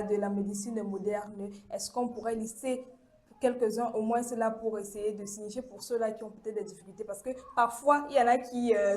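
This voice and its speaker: A woman hosts a student talk show. She speaks at a middle pitch and leads the discussion with questions. Her hesitations and fillers keep the tone relaxed. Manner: steers the debate with questions, fillers. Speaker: host.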